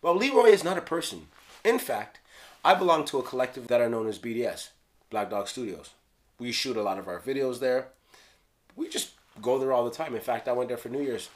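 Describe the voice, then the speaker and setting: A Jamaican man in his thirties casually explaining his own rap lyrics in a small room, playful and slangy, sometimes dropping to a hush. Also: medium pitch, animated, fairly quick. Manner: playful, slangy, drops into hushed asides. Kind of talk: casual solo video commentary. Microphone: studio mic on stand.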